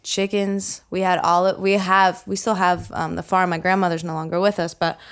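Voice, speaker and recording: Chatty young woman, smooth low voice. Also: flat delivery, moderate pace. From United States. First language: Greek. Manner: chatty, laughs easily, says "like" and "literally" often. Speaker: guest musician. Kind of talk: casual interview chat.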